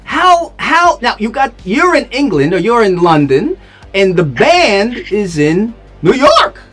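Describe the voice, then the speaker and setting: A man's voice: sits high, very animated, slow pace, fairly bright tone, faint music behind. A man hosting a casual interview, gushing and repeating "you know what" while he talks. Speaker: talk show host playing a vampire count.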